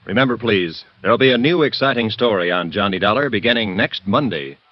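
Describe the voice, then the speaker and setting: A man's voice: lowish voice, expressive, a little fast. A man announcing a radio drama and its credits in 1950s broadcast style. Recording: dull vintage radio recording. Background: music.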